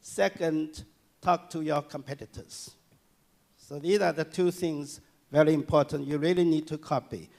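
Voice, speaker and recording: A man giving a public lecture and answering audience questions, speaking haltingly with many fillers and restarts. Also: on the high side, steady tone, vocal fry, darkish tone. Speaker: guest lecturer. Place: lecture hall.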